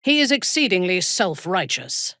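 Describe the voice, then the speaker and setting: A woman playing a haughty, ruthless drow warrior in a video game, with a husky, breathy voice and formal, imperious diction. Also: fairly low pitch, sing-song, normal speed, pushed and bright, no room sound, studio quality. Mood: cool, haughty. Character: haughty, ruthless female drow warrior, devout and coldly seductive. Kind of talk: video game character acting.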